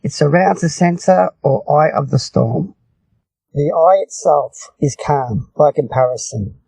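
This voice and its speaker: A man reading English lesson passages and idioms aloud from a script, his voice husky and creaky. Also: a little high, a little slow, mellow, no echo, rough recording.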